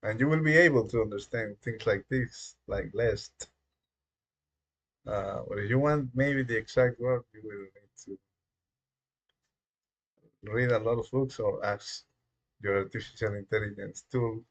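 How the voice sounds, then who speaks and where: A man whose first language is Spanish, chatting casually on a live coding stream in a rambling, halting way, with many fillers and small chuckles. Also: lowish voice, poor audio. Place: dark room at home.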